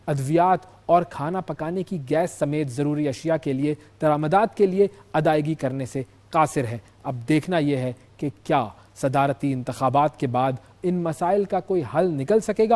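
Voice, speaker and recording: A man's voice: fairly high pitch, a little mellow. A man with a Pakistani accent hosting a news show, explaining stories with emphasis and repeating key phrases. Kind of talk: news presentation with explanatory commentary.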